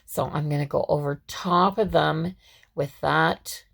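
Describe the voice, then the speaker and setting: A woman with a deep, gravelly and scratchy, hoarse voice. She walks through a craft tutorial in a chatty, rambling way, full of ums and restarts. It sounds like a home crafter talking at her table. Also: steady tone, measured pace, fairly bright tone. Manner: chatty, with frequent fillers and restarts. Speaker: craft vlogger. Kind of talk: casual step-by-step craft tutorial.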